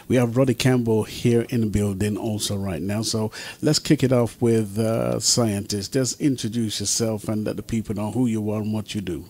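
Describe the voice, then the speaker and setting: A man's voice: darkish tone, husky. A man hosting an informal radio talk show in a hesitant, repetitive way over an online call. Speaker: talk show host and music producer. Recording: rumbly, noisy online conference call.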